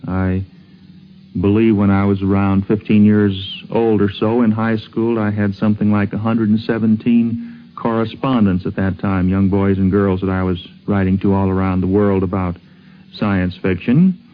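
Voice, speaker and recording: A low-pitched American man recalls things in an interview. His wording is careful and formal, his manner earnest. The recording is very thin, like a 1950s radio heard down a phone line. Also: little pitch movement, normal speed. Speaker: science fiction fan being interviewed. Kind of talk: interview recollection.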